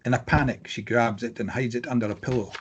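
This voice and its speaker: A man reading screenplay stage directions aloud as scripted narration, with a slightly husky voice. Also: mid-range voice, fairly even intonation, fast talker, slight background noise.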